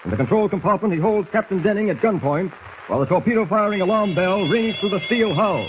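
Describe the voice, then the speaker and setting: A man's voice: sits high, lively intonation, fast talker. An American man narrating a 1940s radio serial with urgent, grandiloquent delivery. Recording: faintly noisy 1940s radio recording.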